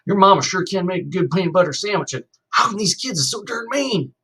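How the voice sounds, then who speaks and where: A high, bouncy young boy with a folksy drawl who talks a mile a minute, as a man reads him aloud. The voice is husky and swings up and down. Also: lively intonation, a little fast, pushed and bright, exaggerated voice. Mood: excited. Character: chatty young boy from the rural South.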